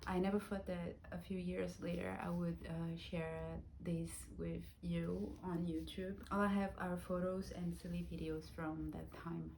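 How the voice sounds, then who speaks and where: A woman in her thirties from Brazil, telling her own life story in a reflective, soft-spoken vlog, with Portuguese as her first language. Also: lowish voice, little pitch movement, unhurried, creaky, hardly any pauses, slight background noise. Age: 30s. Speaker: vlogger.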